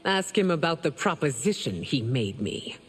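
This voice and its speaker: An actress playing a regal, ageless queen in a video game, cool, aloof and measured. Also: sits low, sing-song, husky, big-room sound, heavily acted. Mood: haughty. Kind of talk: video game character voice acting.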